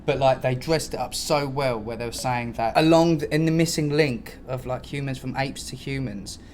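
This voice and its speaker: A British man hosts a loose, improvised podcast in a mid-pitched voice. He talks in casual slang and swears freely. There is noticeable noise behind him. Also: normal speed, a little mellow. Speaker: podcast host.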